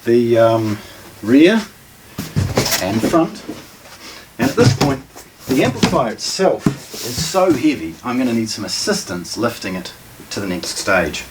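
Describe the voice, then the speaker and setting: A man gives casual, unscripted unboxing commentary, halting and matter-of-fact as he thinks aloud while handling things. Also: mid-range voice, very animated. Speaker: presenter of a hi-fi shop's unboxing video. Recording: distant mic, noisy room with handling clatter.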